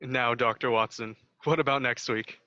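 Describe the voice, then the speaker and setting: A man's voice: medium pitch, fairly even intonation, normal speed, lo-fi sound, natural voice. A man playing a polished 1940s-style radio announcer, reading scripted credits and sponsor copy. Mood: upbeat.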